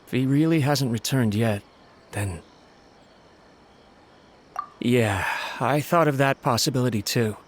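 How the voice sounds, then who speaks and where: A man voicing a nervous, deferential young soldier of low rank in a video game, hesitant and polite, prone to stammering. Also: a little slow, long pauses, a little hiss or hum, natural voice. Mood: worried, apologetic. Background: background music.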